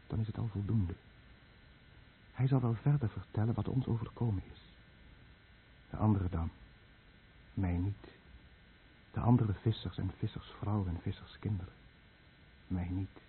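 Low-voiced, guilt-ridden dying fisherman acted by a man. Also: moderate pace, long pauses, close to an everyday voice. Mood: urgent warning, then weary despair. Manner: brooding, bitter, confessional monologue addressed to the listener. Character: dying, guilt-ridden Japanese fisherman, last survivor of his village. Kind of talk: Dutch-language radio drama narration. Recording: muffled narrow-band old radio recording, faint noise.

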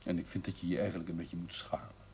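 A man's voice: deep voice, little pitch movement, quick pace, a little mellow, slightly rough, heavily acted. An actor playing a blunt, outspoken village doctor who lectures his patients, speaking Dutch with a hard northern G in an old TV drama soundtrack. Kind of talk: 1970s TV drama acting. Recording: 1970s TV soundtrack with faint hiss.

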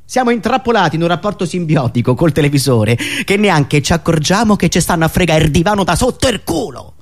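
High-pitched, theatrical man delivering satire. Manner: emphatic, ironic, dramatic storytelling with pointed pauses. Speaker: radio show host and satirical monologist. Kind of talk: theatrical satirical political monologue.

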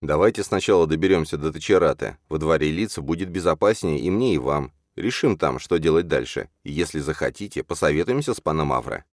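A weary, courteous coachman in an audiobook, as a male narrator plays him. His low voice is measured and polite, with a wry, stubborn sense of duty. It is recorded dry and close. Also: animated, dry recording. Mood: tired. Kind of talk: audiobook character voice.

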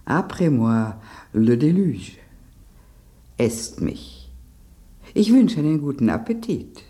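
Fancy resigned soup for radio play, slow, mellow, very swoopy formal delivery. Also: mid-range voice, big pitch swings. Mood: resigned, dignified. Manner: stately, formal, world-weary. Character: elegant, resigned cream soup bidding farewell. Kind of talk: German radio play acting.

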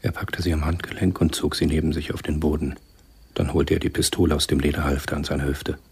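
Very deep, husky male narrator. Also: flat delivery. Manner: measured, sober storytelling. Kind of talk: scripted radio play narration.

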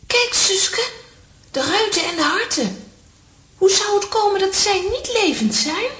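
A small, clever girl from a children's audio play. Her voice is extremely high and excitable. It sits in a roomy echo. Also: unhurried, full character voice. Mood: wondering. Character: Wiske, clever excitable little cartoon girl. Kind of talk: children's audio drama acting.

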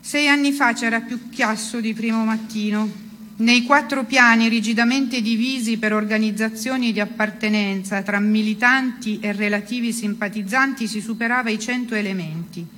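A woman's voice: a little high, steady tone, moderate pace, fairly bright tone, slight background noise. A woman giving a dramatic literary reading of first-person prose. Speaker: reader of a literary text.

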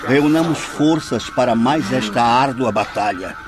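An actor in a radio drama playing a solemn, commanding leader of a spiritist session, formal and invoking. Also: male voice, medium pitch, measured pace, lightly acted. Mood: solemn, urgent. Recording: music under the voice.

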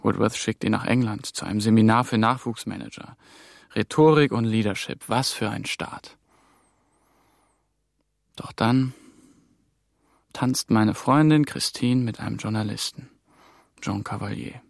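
An actor playing a hunted young male EU trainee who narrates his own story in a tense, hushed inner monologue. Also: on the low side, a little slow, gravelly, pauses often, no room sound, studio quality. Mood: anxious. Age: young adult. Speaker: narrator and protagonist. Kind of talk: radio drama inner monologue.

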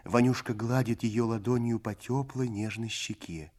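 A man narrating a literary story for a radio play in a measured storyteller's manner. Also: mid-range voice, steady tone, darkish tone.

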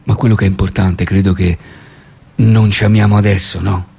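A man's voice: low-pitched, flat delivery, normal speed, creaky, dark tone, breathy edge, full character voice. An actor in a radio theatre comedy playing a tender, earnest boyfriend who talks reassuringly and repeats his phrases. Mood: sincere, sweet. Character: tender, earnest boyfriend declaring indifference sweetly. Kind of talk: radio theatre comic dialogue.

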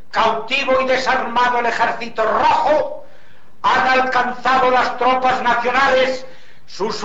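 A man's voice: extremely high pitch, bright, forceful tone. A man reading an official war bulletin on the radio in a solemn, declamatory manner. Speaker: radio announcer. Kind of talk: formal official broadcast reading. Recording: dull echoey 1930s radio archive.

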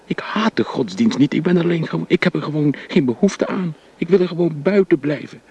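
A man's voice: a little high, lively intonation, brisk, darkish tone, slightly rough, muffled recording. An actor playing a restless man who urges the woman he loves to leave with him, earnest and pleading. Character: Jaap, restless ardent suitor. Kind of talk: radio play acting.

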